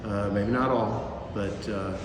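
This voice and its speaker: A man's voice: lowish voice, fairly even intonation, takes it slowly, background noise. A man, a mission volunteer, telling a story in a loose, rambling way with many fillers. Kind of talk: casual group recap. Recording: echoey hall from far off.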